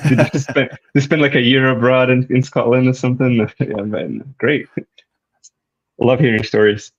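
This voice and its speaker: A man's voice: mid-range voice, steady tone, moderate pace. A man co-hosting a casual tennis chat, hesitant and searching for words. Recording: dull lo-fi mic.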